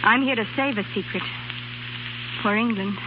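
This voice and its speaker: An actress playing a frightened, secretive young spy in a 1930s radio drama, with an urgent, pleading delivery. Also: female voice, fairly high pitch, moderate pace, natural voice. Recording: muffled, noisy 1930s radio broadcast.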